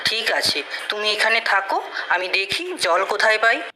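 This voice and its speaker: A woman's voice: medium pitch, animated, moderate pace, sharp tone, rough recording. A woman voicing a young prince, a protective elder brother, earnestly in a cartoon story. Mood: reassuring.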